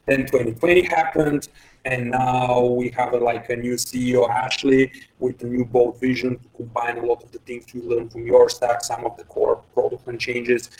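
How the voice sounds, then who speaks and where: A man from Bulgaria, in his thirties, talks through interview answers in a flat, even voice. He hesitates often, restarts sentences and slips in small laughs. He sits some way back from the microphone. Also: mid-range voice. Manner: hesitant, many fillers and restarts, light laughs. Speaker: guest, head of engineering. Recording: voice distant from the mic.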